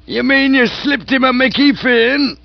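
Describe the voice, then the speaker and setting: A man reading aloud voices a loud, hearty older aunt who talks bluntly and bossily. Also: high voice, normal speed, vocal fry, dry recording, voice pushed up. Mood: gleeful. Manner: loud, emphatic, bossy. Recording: dull, dry recording.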